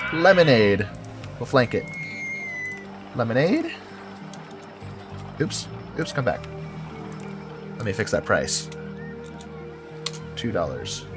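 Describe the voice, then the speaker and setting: Stuffy-nosed man thinking aloud over game music. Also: mid-range voice. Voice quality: nasal. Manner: thinks aloud, chuckling, self-correcting. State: head cold, stuffy nose. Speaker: game video creator. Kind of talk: casual gameplay commentary.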